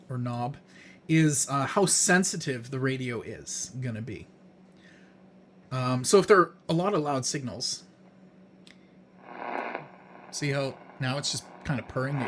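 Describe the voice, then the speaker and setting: A man's voice: mid-range voice, a bit bright, slight background noise. A man presenting a hands-on gadget demonstration in a casual, unscripted way, explaining things in plain, informal words.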